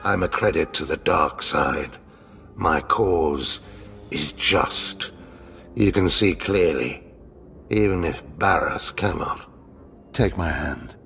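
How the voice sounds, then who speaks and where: Grave, slow, deep-voiced Sith overseer. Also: male voice, creaky, exaggerated voice. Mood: weary pride. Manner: solemn, deliberate, dramatic delivery. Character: grave, proud older Sith overseer, resigned and honourable. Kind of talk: video game cutscene voice acting. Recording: loud music under the voice.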